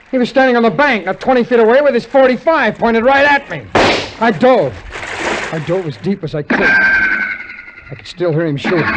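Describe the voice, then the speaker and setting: A sardonic, dramatically swinging radio adventurer. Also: male voice, sits high, heavy background noise. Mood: tense. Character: cynical, world-weary male adventurer named Johnny narrating his tale. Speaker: narrator and lead character. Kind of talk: 1950s radio drama narration. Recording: muffled vintage 1950s radio recording, music behind.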